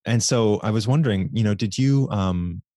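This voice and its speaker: A man's voice: on the low side, normal speed, mellow, no echo, clean studio sound. A man hosting a podcast, asking rambling, enthusiastic questions in a casual way. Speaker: podcast host.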